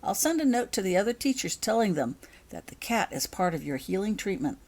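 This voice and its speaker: A woman's voice: medium pitch, sing-song, a little fast, darkish tone. A woman voicing a kindly but firm school healer witch in a storybook reading, patiently instructing and encouraging.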